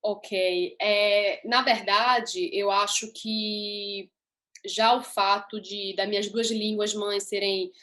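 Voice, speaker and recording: A woman's voice: medium pitch, flat delivery, slow pace, a bit bright. A young woman from Italy, Italian her first language, an upbeat singer answering interview questions in chatty, laughing style.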